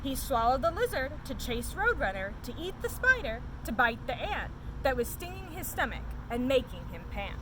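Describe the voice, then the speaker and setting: A woman reading a children's picture book aloud as a story time host, in an expressive, sing-song storytelling manner. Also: high-pitched, moderate pace, few pauses, background noise.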